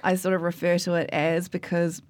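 A woman from New Zealand talks casually about her work, her low voice stumbling through fillers and restarts. The recording is dry and close, with no room around her. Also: flat delivery, normal speed, a little mellow, no room sound. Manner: chatty, many fillers and restarts. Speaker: coffee expert guest. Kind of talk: casual interview chat.

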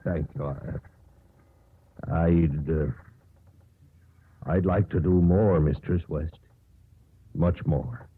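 An actor playing a deferential but anxious ship's first officer in 1950s radio drama style, earnest and emphatic. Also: male voice, a bass voice, fairly even intonation, extremely slow, long pauses, husky, exaggerated voice. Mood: hesitant, hopeful. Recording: tinny, hissy 1950s radio broadcast.

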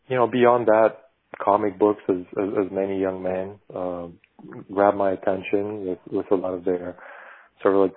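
Low, husky man on a tinny remote call. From Bulgaria. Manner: thoughtful, searching for words, frequent restarts. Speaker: podcast guest, animation artist. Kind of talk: reflective conversational interview.

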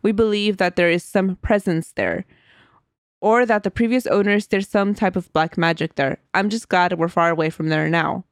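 A woman's voice: fairly low pitch, moderate pace, no echo. Giggly woman podcast co-host, dry mic. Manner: giggly, chatty, reads aloud with asides. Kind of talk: casual chat and reading listener stories.

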